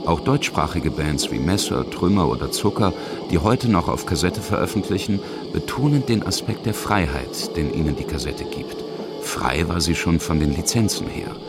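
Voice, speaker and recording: A man's voice: deep voice, lively intonation, normal speed, very noisy. A man narrating a scripted radio documentary as its reporter.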